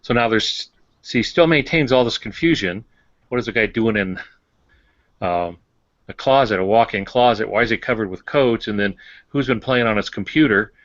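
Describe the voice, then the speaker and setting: A man with a fairly low voice explains things in casual podcast talk, pausing and feeling his way through sentences with dry humor. The sound is close and dry, though somewhat dull and lo-fi. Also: a bit bright, dry recording. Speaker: fiction writer, podcast panelist. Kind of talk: casual talk on writing craft.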